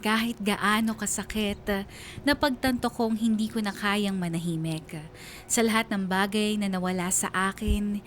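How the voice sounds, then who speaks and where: A woman's voice: medium pitch, natural voice. A woman playing a hurt but resolute letter-sender, narrating her story in dramatic first-person radio style. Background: background music.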